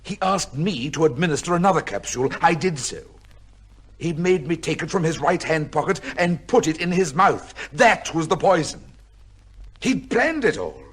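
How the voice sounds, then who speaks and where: A desperate, upright judge accused of a crime in an old radio play. His voice is husky and swings widely in pitch, with formal, emphatic diction and an anguished, defensive edge. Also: big pitch swings, normal speed, a bit bright, heavily acted. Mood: haunted confessing. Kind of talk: old-time radio drama acting.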